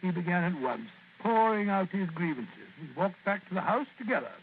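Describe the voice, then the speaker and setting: An amiable, somewhat bumbling doctor companion who stammers on his words. His voice sits fairly high. The recording is thin and phone-like, with faint hiss. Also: sing-song, a little fast. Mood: recounting. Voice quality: stammering, genial. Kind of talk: 1940s radio acting. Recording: tinny lo-fi old radio recording.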